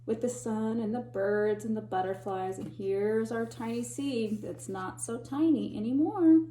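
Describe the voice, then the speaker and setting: Woman, teacher, storytime read-aloud, slightly high voice, animated, whispers and gasps for suspense. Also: measured pace, slight background noise. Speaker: teacher reading a picture book to children.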